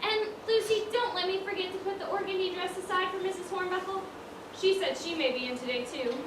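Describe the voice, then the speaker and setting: A woman's voice: very high voice, fairly quick. A woman on stage playing a prim, wistful widowed dress-shop owner, full of old-fashioned exclamations. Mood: busy, fretful. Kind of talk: amateur stage acting, one-act school play. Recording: roomy stage, distant mic, clear noise.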